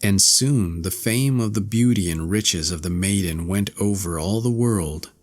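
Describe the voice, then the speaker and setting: Low-pitched male fairy-tale narrator. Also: measured pace. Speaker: audiobook narrator.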